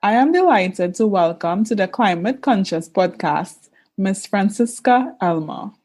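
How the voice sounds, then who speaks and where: A woman's voice: medium pitch, lively intonation, a little slow, a little mellow. A young woman from the Caribbean hosting a podcast, reading her introductions in a formal, polished way and interviewing a guest. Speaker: podcast host.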